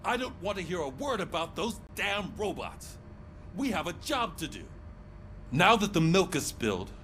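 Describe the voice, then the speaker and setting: An older police sergeant with a high, very varied voice. He is gruff, blunt and used to giving orders, a game character with faint music behind him. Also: a bit bright, heavily acted. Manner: blunt, authoritative.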